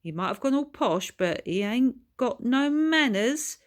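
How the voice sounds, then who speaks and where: A woman's voice: a little high, expressive, a little slow, pitched above the performer's own voice. A woman voicing a hot-tempered working-class father with a blunt, growling, colloquial manner. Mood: angry.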